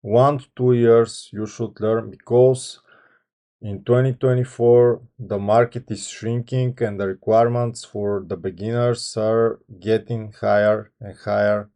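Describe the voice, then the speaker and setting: Man, Bulgarian accent, dark mellow tone, flat delivery, hesitant fillers. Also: on the low side, a little slow. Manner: hesitant, many ums and restarts. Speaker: software engineer channel host. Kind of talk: unscripted talk to camera.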